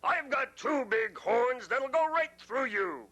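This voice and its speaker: A man voicing the biggest billy goat, bold and boastful, shouting back. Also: very high-pitched, lively intonation, takes it slowly, voice pushed up, exaggerated voice. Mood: defiant.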